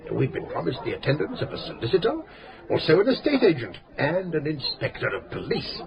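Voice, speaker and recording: An actor playing a pompous, long-winded English school headmaster in a radio drama, speaking in formal, pedantic, hesitant phrases. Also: male voice, a little high, wildly swooping pitch, a little fast, creaky, heavily acted. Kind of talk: British radio drama acting. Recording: radio play with busy pub chatter.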